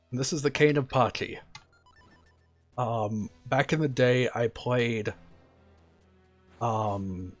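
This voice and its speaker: A man streaming a video game, talking casually and quietly about what he's doing in a relaxed, chatty way. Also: mid-range voice. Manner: soft-spoken, relaxed and chatty. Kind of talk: casual gameplay commentary.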